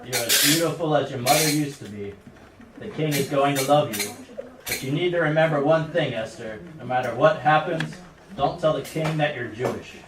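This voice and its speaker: A man's voice: fairly high pitch, normal speed, close to an everyday voice. A man voicing a principled, fatherly guardian in a puppet show, speaking plainly and earnestly. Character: devout, fatherly male guardian, stubbornly principled. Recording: noisy, roomy, distant home recording.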